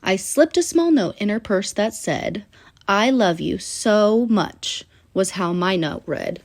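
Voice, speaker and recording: Female children's book narrator, mid-pitched and very lively, sing-song rhyming read-aloud with deliberate pauses.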